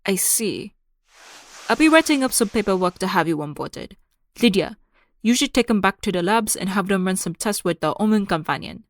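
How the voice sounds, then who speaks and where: A woman acting a courteous, gently teasing professor in an audio roleplay, speaking in a formal, composed way. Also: medium pitch, animated, moderate pace, no echo, clean studio sound, lightly acted. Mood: assured, gently probing.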